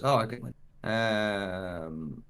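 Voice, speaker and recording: A man's voice: fairly low pitch, very slow, fairly bright tone, clean tone. A man speaking casually as a guest actor discussing a role, rambling and often restarting his sentences. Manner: rambling, restarts sentences, frequent "like" and "you know".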